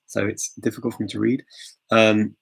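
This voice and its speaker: Gravelly, husky man hosting a live Q&A. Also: lowish voice, little pitch movement, moderate pace, low-quality recording. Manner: chatty, filler-heavy, chuckles often, greets viewers. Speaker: host of a live language-learning Q&A. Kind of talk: casual live-stream Q&A. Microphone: phone.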